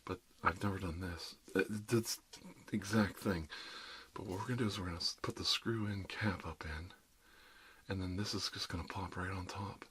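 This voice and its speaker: Low-pitched man in rambling ASMR chat. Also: moderate pace, fairly bright tone, husky. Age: middle-aged. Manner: rambling, conversational, jokey, frequent "you know". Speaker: ASMR performer playing an emergency dentist. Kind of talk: ASMR roleplay, rambling one-sided casual chat.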